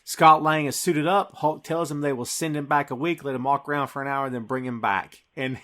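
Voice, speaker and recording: A man's voice: mid-range voice, steady tone, fairly quick, pushed and bright. A man hosting a podcast, eagerly recapping a film scene by scene in casual chat. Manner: enthusiastic, recapping scenes with a laugh. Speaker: podcast host.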